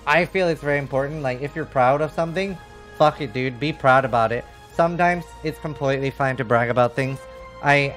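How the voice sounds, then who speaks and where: A man's voice: mid-range voice, fairly bright tone. A man doing casual live game commentary, reading chat and thinking aloud in a frank, rambling way with some casual swearing. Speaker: livestreamer.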